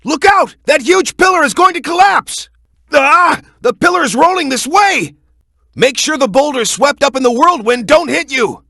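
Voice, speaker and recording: A young, hot-headed echidna fighter from a video game. The voice sits high for a male and swings widely, with a bright, forceful edge. He talks in an urgent, punchy, gung-ho way, recorded very dry. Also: lively intonation, moderate pace, creaky, no echo, full character voice. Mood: alarmed shouting. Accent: American. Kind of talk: animated video game voice acting.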